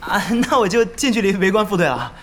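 An actor playing a starstruck, bumbling young gamer in an audio drama, nervous, fawning and excitable. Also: male voice, high-pitched, a little fast, slight background noise.

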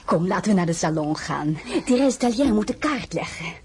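A worldly French empress of mature years in an audio drama. The voice is very breathy and mellow, with lively swoops in pitch. She is vain, coquettish and shrewd, coaxing in a languid way. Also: moderate pace, full character voice. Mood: coquettish, advising. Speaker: actress. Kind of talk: acted audio drama dialogue.

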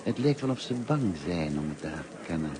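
An actor in a Dutch radio play as a kindly, talkative, lonely middle-aged teacher, courteous and musing in manner. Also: male voice, sits low, sing-song, moderate pace. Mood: wistful, fond. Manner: courteous, musing, hesitant and self-effacing. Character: kindly, talkative, lonely grey-haired male French teacher. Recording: noisy old radio play recording.

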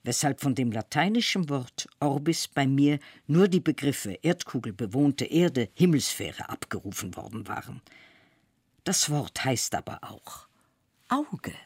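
A reader giving a slow, measured literary reading with dramatic pauses. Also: mid-range voice, expressive, breathy edge, no room sound.

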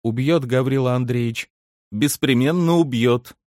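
A man voicing a timid, submissive young maidservant in a storybook, speaking quietly, hesitantly and obediently. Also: medium pitch, a little slow, no room sound, clean studio sound, pitched above the performer's own voice, close to an everyday voice. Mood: meek resignation. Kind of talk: dramatic literary audiobook character reading.

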